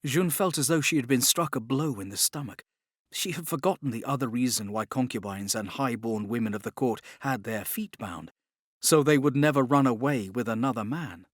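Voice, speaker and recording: A man's voice: mid-range voice, normal speed, no echo, studio quality. A man reading scripted dramatic narration as an audiobook narrator.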